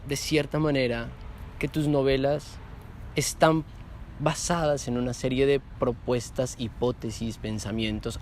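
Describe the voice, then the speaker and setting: A man with an average-pitched voice conducts a literary interview. He builds long, reflective questions, reformulating his ideas along the way. A faint ambient noise runs underneath.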